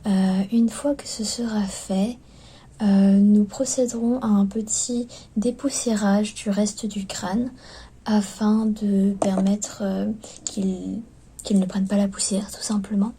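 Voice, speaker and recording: A woman speaks softly in an ASMR roleplay as clinic staff, often slipping into a whisper, with gentle, hesitant, attentive patter. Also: medium pitch, level tone, takes it slowly, husky. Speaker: ASMR performer playing skeleton clinic staff. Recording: lo-fi recording with background noise.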